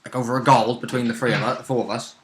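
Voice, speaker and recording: A man's voice: mid-range voice, fairly even intonation, rapid-fire, a bit bright, slight background noise. A man chatting informally as a player recounting a tabletop role-playing game, often chipping in. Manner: informal, interjecting. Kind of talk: casual chat, recounting game events. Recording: echoey room.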